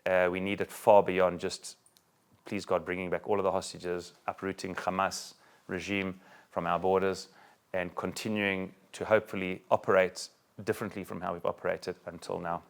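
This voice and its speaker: A middle-aged South African-born rabbi giving a talk to a group and taking questions, joking and animated, with many restarts. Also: male voice, low voice, normal speed, vocal fry. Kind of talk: talk with audience Q&A. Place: room with a live audience.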